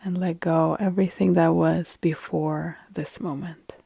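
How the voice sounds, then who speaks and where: A woman leads a guided yoga nidra relaxation in a low-leaning, mellow voice. She is calm and soothing, leaving long pauses, and her soft phrase endings turn breathy. The delivery stays very even, with hardly any melody. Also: no echo.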